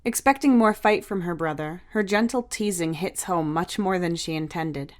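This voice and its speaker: Woman reading an audiobook story, voice a bit on the low side. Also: normal speed. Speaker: audiobook narrator. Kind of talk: scripted story narration.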